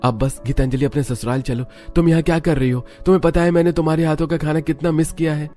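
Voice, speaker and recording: A man's voice: on the high side, fairly even intonation, quick pace, dark tone, soft background music, voice pushed up, close to an everyday voice. A man voicing an earnest, devoted young husband in a family cartoon, with emphatic, dramatic delivery. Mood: relieved, affectionate.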